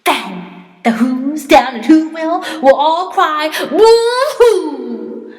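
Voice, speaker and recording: Woman acting gloating storybook monster, old male schemer, sly and smug, high swooping delivery, long hall reverb. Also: animated, slow, faint music behind. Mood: gleeful mockery.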